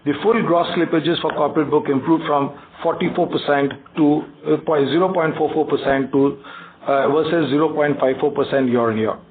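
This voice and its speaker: Indian guy on a tinny phone conference call reading out bank results, kinda high voice, stumbles and repeats himself. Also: steady tone. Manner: halting, stumbles and repeats words. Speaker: bank executive on an earnings call. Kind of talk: prepared financial briefing read aloud. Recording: tinny phone conference line, faint noise.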